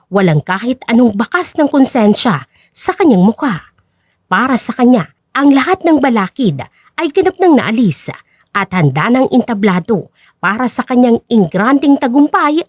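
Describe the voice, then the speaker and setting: Animated, high-pitched woman narrator. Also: no room sound, studio quality. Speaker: story narrator. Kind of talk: scripted dramatic narration.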